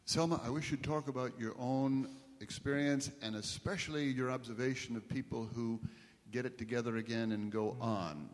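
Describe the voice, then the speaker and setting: A man's voice: mid-range voice, normal speed. A man moderating a panel, prompting the speakers with brief questions. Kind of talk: panel discussion. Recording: distant, long hall reverb.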